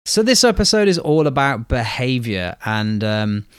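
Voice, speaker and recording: A man's voice: mid-range voice, sing-song, moderate pace, clean tone, no room sound, clean studio sound. A man co-hosting a podcast who asks questions and teases his co-host in casual chat.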